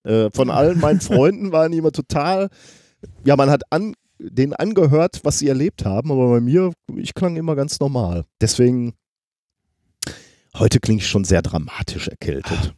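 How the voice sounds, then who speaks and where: A man's voice: mid-range voice, lively intonation, normal speed, mellow, dry recording, studio quality. A man hosting a podcast while he has a cold, talking in a rambling, self-mocking way. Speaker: podcast host. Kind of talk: casual podcast chat.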